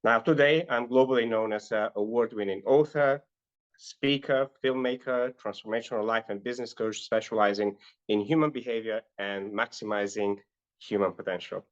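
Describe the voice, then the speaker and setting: Low-voiced middle-aged man, lo-fi audio. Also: normal speed. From North Macedonia. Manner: earnest, with frequent "uh" fillers. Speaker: guest, life and business coach. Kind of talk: personal storytelling in an interview.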